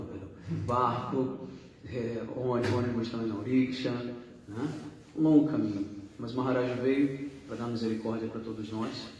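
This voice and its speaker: Host type: man, mid-pitched, rambling, self-correcting, filler-heavy, recorded from far off in a reverberant temple hall. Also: darkish tone. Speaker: group leader introducing guest speakers. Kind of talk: informal introduction at a religious gathering. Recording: echoey hall, distant mic, faint hum.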